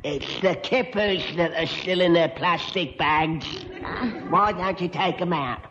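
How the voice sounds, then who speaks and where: A man's voice: on the high side, a little slow, fairly bright tone, dull audio. A man playing a dim, literal-minded middle-aged farmer in a radio comedy. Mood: exasperated. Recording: hissy old radio recording.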